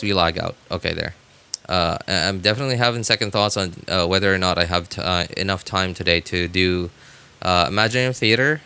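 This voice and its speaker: A man chatting casually as a live game streamer, rambling and halting as he often repeats himself. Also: on the low side, bright, forceful tone, clean tone.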